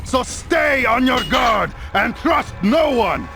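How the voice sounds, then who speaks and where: An actor playing a fanatical herald in a video game, shouting and declaiming to rouse soldiers. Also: male voice, very high-pitched, sing-song, slow pace, over music, exaggerated voice. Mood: fiery. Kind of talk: video game cutscene acting.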